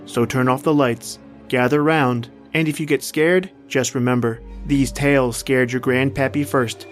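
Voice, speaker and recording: A man hosting a horror podcast, reading a scripted introduction in a theatrical, measured presenter's delivery. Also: mid-range voice, fairly even intonation. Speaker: podcast host. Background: music.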